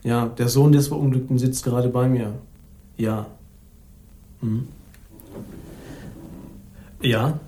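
An actor playing an affable but guarded young police officer in a crime radio play. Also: male voice, mid-range voice, fairly even intonation, slow, pauses often, warm tone, close to an everyday voice. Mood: businesslike. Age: young adult. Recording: roomy studio office acoustic.

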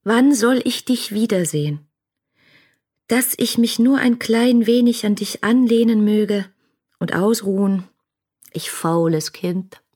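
A young woman pouring out love letters in a radio play. Her voice is breathy and husky, close and dry, often dropping into a whisper. She speaks with fervent, poetic devotion and lonely longing. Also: medium pitch, takes it slowly, mellow, no room sound. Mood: tender longing. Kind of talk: literary radio drama monologue.

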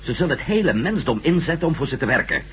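A sardonic ex-astronaut hero in an old radio drama with faint background noise. He argues impatiently in a quick voice that swings up and down. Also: a little high, very animated, a bit bright. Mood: sceptical. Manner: sardonic, urgent, argumentative.

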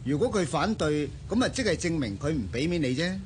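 An actor playing a breezy rich bachelor with a knowing, insistent manner in a Hong Kong Cantonese radio drama. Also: male voice, fairly high pitch, sing-song, brisk, a little hiss or hum. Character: breezy, pushy rich bachelor and old friend.

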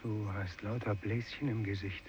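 A man's voice: on the low side, steady tone, normal speed, lightly acted. A man playing an exhausted, ailing fisherman in a radio play, subdued and full of horror. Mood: weary horror. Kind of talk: 1950s German radio play acting. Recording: dull, slightly noisy vintage radio recording.

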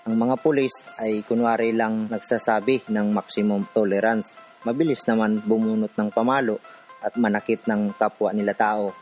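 A man's voice: fairly low pitch, level tone, moderate pace, darkish tone, faint music behind, close to an everyday voice. An actor playing a poor man from a city slum, narrating his own hard life in a scripted radio drama. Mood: somber, reflective.

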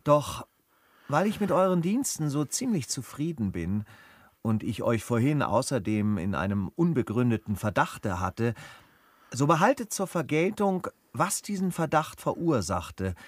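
An actor playing a noble, dignified travelling gentleman in a classic radio play, speaking in a measured, formal, earnest way. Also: medium pitch, sing-song, no echo. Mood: stern but generous. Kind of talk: German radio drama acting of a classic stage comedy.

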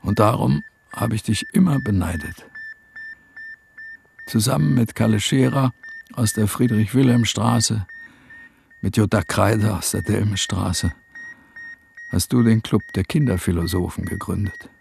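An actor playing a younger brother, talking earnestly and probing for answers in a radio drama. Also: male voice, on the low side, unhurried, gravelly, long pauses, darkish tone, slight background noise.

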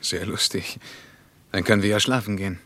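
Radio drama: wary German veteran turned writer, terse and sober, very low pitch, dry close sound. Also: male voice, fairly even intonation, fairly quick, fairly bright tone, no echo. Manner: terse, matter-of-fact. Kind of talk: German radio play dialogue.

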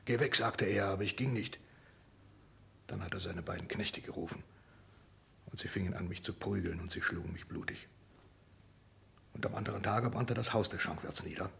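An actor in a 1960s German radio drama playing a desperate peasant prisoner who pleads his innocence in an agitated, halting way. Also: medium pitch, creaky, sharp tone. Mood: desperate protest. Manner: agitated, halting, dropping into whispers.

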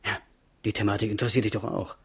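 A man's voice: lowish voice, little pitch movement, fast, breathy edge. A man playing a self-assured, ambitious schoolteacher in a radio drama, speaking in a formal, instructive way. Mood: pleased, confident. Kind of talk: 1970s German radio drama acting.

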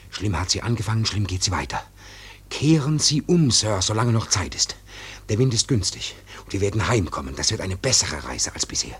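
An actor playing a fearful ship's mate in a radio drama, pleading in a breathy half-whisper amid noise. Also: male voice, deep voice, a bit bright.

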